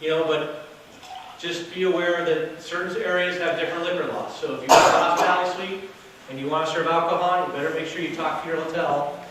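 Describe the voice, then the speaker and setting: A man giving a loud, casual workshop talk to an audience as a hotel sales director, with no microphone. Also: a little high, flat delivery, fairly quick. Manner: casual, chatty, with fillers. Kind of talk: workshop presentation to a live audience. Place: meeting room with an audience. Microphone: distant camera, no microphone. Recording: very echoey room from far off with noise.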